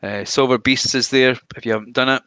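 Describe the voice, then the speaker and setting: Smooth, dry-sounding male co-host. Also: mid-range voice, steady tone, fairly quick, pushed and bright, no room sound. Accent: regional British or Irish. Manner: friendly, enthusiastic gamer talk. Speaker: podcast co-host. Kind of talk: casual podcast chat.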